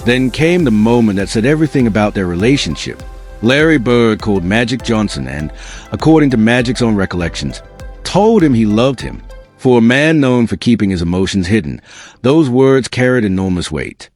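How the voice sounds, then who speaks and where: Expressive low-voiced male documentary narrator. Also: moderate pace, soft background music. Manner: earnest, dramatic storytelling.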